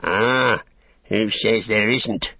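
A small, insolent male orc for a storybook. He jeers and whines with a husky, creaky rasp, forever grumbling and sneering. The recording is dull and roomy. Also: fairly low pitch, drawn-out words, voice pushed up, heavily acted. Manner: jeering, whining complaints.

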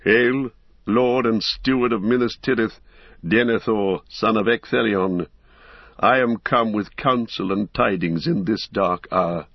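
A wise old wizard, calm and stern, speaking in measured, solemn tones, as a male storybook reader puts him on. The voice is low and the sound is dry and a bit dull. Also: no echo. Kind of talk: audiobook character voice.

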